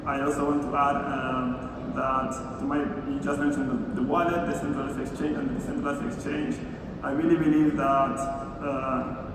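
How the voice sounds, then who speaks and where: A man from Argentina speaking as a panelist at a conference, in an even manner. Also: mid-range voice, normal speed, very noisy. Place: large conference hall stage. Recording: echoey hall filmed from far off.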